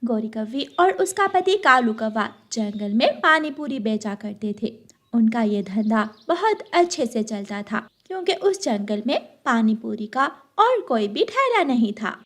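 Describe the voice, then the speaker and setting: High-pitched, animated woman storyteller. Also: unhurried. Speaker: narrator. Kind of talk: children's cartoon story narration.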